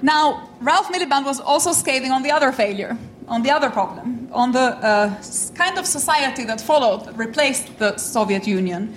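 A middle-aged woman from Albania, a native French speaker, delivers an academic lecture in a measured, reflective way. Her voice sits fairly high and now and then shows a dry, self-deprecating humor. The room around her rings audibly, with a faint hum of ambience underneath. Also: animated, echoey room, distant mic. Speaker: invited speaker.

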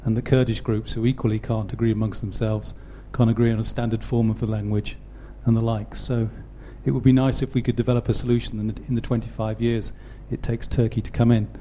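A man's voice: medium pitch, flat delivery, fast, hardly any pauses, dark tone, slight background noise. A man in the audience asking a long question hesitantly, with many ums. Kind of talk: question from the floor.

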